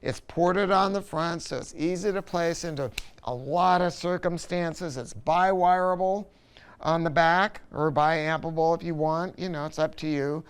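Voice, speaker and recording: A man's voice: a little high, fairly even intonation, unhurried, smooth. A man presenting a casual product review in a folksy, colloquial, emphatic salesman's way. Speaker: audio shop presenter reviewing a loudspeaker.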